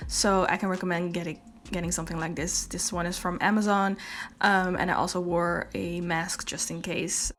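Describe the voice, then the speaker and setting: A young woman from the Netherlands with a Dutch accent, chatting casually through a nail tutorial voice-over, with many ums. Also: fairly low pitch. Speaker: beauty vlogger.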